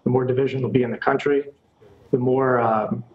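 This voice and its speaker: A man's voice: mid-range voice, little pitch movement, fairly quick, dull audio. A man, a journalist guest, answering firmly and directly. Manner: firm and direct. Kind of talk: interview answers.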